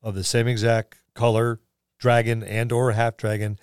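A male podcast co-host chats casually about tabletop gaming. His voice sits at an average pitch, and the sound is close and dry, with very little room in it. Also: little pitch movement, moderate pace, a little mellow, clean tone, dry recording.

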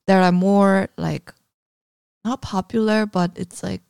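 A woman's voice: mid-range voice, steady tone, slow, vocal fry, darkish tone, smooth, dry recording, studio quality. Woman interviewer, casual and probing. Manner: probing, hesitant phrasing with many fillers.

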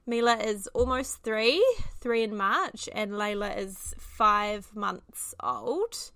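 A woman's voice: mid-range voice, takes it slowly, sharp tone, no echo. A woman in her late twenties with a New Zealand accent, co-hosting a casual parenting podcast and chatting with friends, laughing at herself often. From Australia. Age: 20s. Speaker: podcast co-host. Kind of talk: casual chat.

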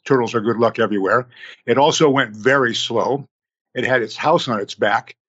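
A man in his sixties, a podcast guest who tells his story slowly, in numbered steps. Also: mid-range voice, fairly bright tone, husky, highs cut off.

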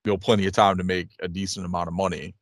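A man's voice: low-pitched, flat delivery, very fast, no room sound, studio quality. A man in his late thirties chatting casually as a podcast co-host, rambling and repeating his phrases. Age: late 30s. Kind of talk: casual chat, reading listener questions.

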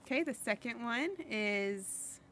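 A young adult woman co-hosting a podcast in casual chat, giggly and quick to agree. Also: fairly high pitch, fairly bright tone, a little hiss or hum. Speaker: podcast co-host.

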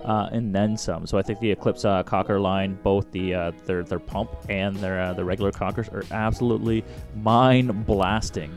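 Man, co-host, lowish voice, friendly, filler-laced, faint music. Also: fairly even intonation, moderate pace, few pauses. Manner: friendly, fillers between phrases. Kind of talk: casual chat.

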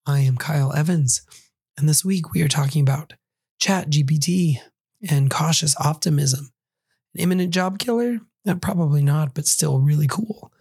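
A middle-aged man hosting a solo podcast, hoarse as he recovers from a cold and flu, talking in a conversational way with wry asides. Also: on the high side, normal speed, a little mellow, no room sound. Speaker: podcast host.

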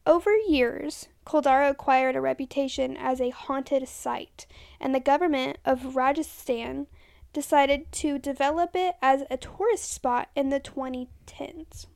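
High, gravelly woman reading notes. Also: a little slow, darkish tone. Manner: reads from notes, stumbles over names and self-corrects. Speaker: podcast co-host. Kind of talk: casual chat reading history notes.

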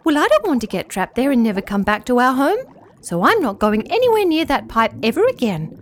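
A woman's voice: high voice, wildly swooping pitch, brisk, pitched above the performer's own voice. A woman voicing a smart, sensible young female fish who firmly urges the others. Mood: coaxing. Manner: insistent, urging.

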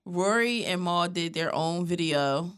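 A woman co-hosting a podcast in casual chat, speaking in a natural monotone, blunt and profane as she lays out a story at length. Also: on the low side, a little slow, clean tone. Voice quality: self-described natural monotone. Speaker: podcast co-host.

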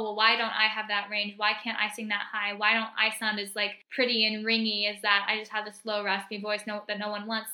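A woman's voice: on the high side, pushed and bright. A young woman hosting a casual solo podcast, talking in an emphatic, encouraging pep-talk way. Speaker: podcast host.

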